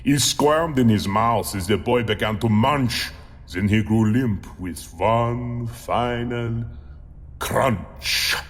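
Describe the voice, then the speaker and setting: A man playing a monstrous man-eater, reciting a grim rhyming memoir in a slow, theatrical, deliberate manner with long reverb. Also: medium pitch, natural voice. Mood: grim relish. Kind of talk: theatrical rhymed storytelling.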